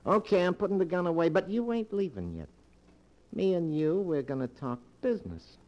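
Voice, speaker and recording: An American actor playing a cocky, bullying small-time crook in a 1940s radio thriller, talking in tough, insistent slang. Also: male voice, sits high, expressive, moderate pace, a little mellow, dull audio. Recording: old 1940s radio recording, music behind.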